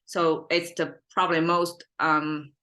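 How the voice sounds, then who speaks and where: Casting: female language-lesson host, native Mandarin speaker with Chinese accent, slightly low bright voice, informal, meandering, checks in with listeners. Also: little pitch movement, slow pace. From China. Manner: rambling, self-correcting, checks in with listeners. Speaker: language teacher, podcast host.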